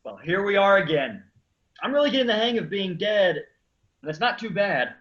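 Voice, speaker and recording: A man as hapless Lazarus, bright and swooping. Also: sits high, big pitch swings, moderate pace, highs cut off. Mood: wry resignation. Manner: exasperated, wry comic delivery. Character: Lazarus, hapless, long-suffering man who keeps dying. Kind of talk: comic stage play reading.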